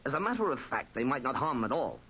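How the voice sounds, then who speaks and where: A man playing an earnest Parisian police officer in a 1940s radio drama, hurried and formal. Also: medium pitch, sing-song, sharp tone, slightly rough, full character voice. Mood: urgent. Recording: muffled, noisy 1940s radio recording.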